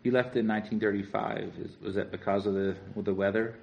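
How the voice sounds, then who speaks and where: A man's voice: lowish voice, fairly even intonation, fast, gravelly, dull audio. A man interviewing an elderly couple, asking friendly, curious questions. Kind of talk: oral history interview.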